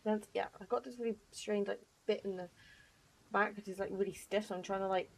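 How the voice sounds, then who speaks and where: A woman's voice: mid-range voice, steady tone, a little fast, creaky, low-quality recording. A woman giving casual, slangy let's-play commentary and reacting to a game as she plays. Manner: chatty, slangy. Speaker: gaming streamer.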